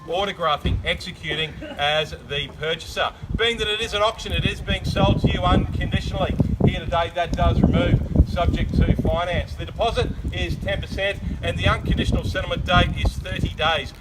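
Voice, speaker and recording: An Australian man working as an auctioneer, delivering a loud, projecting, formal sales spiel outdoors on a suburban street. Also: sits high, fairly quick, few pauses, heavy background noise.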